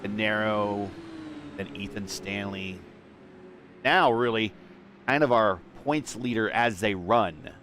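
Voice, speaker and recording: A man calling play-by-play for an online sim racing broadcast, steady through caution laps and rising in pitch and volume at restarts. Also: slow, long pauses, slight background noise. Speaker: lead race commentator.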